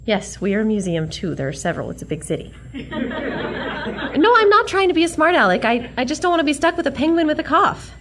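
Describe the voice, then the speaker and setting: A woman's voice: high-pitched, sing-song, fast talker, some chatter behind, slight background noise. A woman playing a plucky, wisecracking young museum worker in a comic radio drama, perky and sassy. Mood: polite exasperation.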